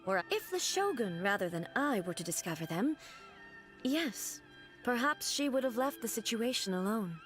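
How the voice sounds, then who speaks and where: Immortal ruler for a game: solemn, regal, measured delivery, fairly high pitch. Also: female voice, big pitch swings, soft background music. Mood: solemn, composed. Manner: formal, measured, stately. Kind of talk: video game voice acting.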